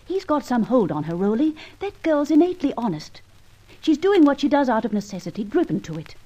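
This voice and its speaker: An actress playing the kindly, urgent accomplice of a gentleman adventurer in an old radio drama, earnest, insistent and polite. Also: high-pitched, big pitch swings, a little fast. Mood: urgent, hushed. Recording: dull, lo-fi old radio recording.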